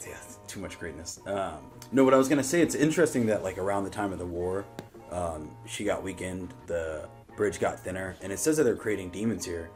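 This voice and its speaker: Deep guy rambling casually on a reaction show, faint music under him. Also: fairly quick, darkish tone. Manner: rambling, thinking aloud, swearing.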